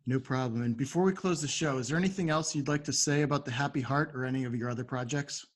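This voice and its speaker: Casting: male podcast host, medium pitch, conversational interviewer. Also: quick pace.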